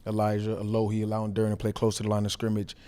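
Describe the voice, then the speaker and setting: A man's voice: on the low side, monotone, rapid-fire, dark tone. An American man, a former football player, co-hosting a podcast and asking questions in a conversational way. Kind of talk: conversational sports interview.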